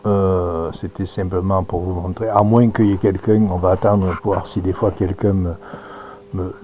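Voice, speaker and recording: A man giving an informal live demonstration as an amateur radio operator, halting and thinking aloud as he works. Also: sits low, little pitch movement, mellow. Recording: noisy recording with audible hum.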